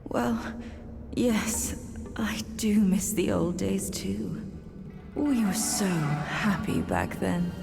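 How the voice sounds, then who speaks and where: A woman voicing a proud, world-weary demon queen and mother in a game cutscene, speaking in an imperious, measured way. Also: mid-range voice, creaky, music in the background, full character voice. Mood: softened, wistful. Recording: long hall-like reverb.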